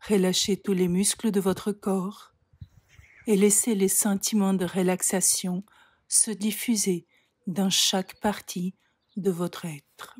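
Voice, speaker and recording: A woman leads a guided relaxation at a very unhurried pace. Her voice is soft and breathy with a mellow tone. She gives calm, measured instructions and leaves frequent pauses between phrases. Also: mid-range voice. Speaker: yoga nidra meditation guide. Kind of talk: slow guided relaxation meditation.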